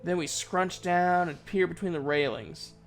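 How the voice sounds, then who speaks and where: A man's voice: sits high, a little slow, faint music behind. A man narrating a fantasy story in the first person, in a folksy, conversational storyteller's manner. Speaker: narrator, voice actor.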